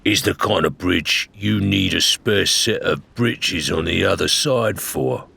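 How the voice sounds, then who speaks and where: A man's voice: low voice, slow pace, creaky, sharp tone, slightly rough, exaggerated voice. A man playing a stubborn, cocky male pirate in an audiobook, with blunt, slangy speech. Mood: stubborn, wary.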